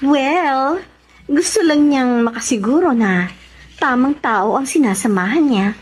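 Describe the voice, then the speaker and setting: A mysterious, coy woman spy in a Filipino radio drama. Her voice is on the high side and very expressive, with a Filipino accent. The recording has clear background noise. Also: unhurried. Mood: confiding. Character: mysterious woman escort secretly spying on a politician, coy. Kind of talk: Tagalog radio drama acting.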